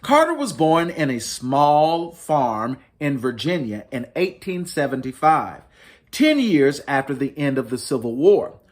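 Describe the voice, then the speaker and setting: Expressive man reading a children's storybook, lively pitch. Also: a little slow. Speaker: children's storybook reader, host. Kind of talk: expressive picture book read-aloud for families.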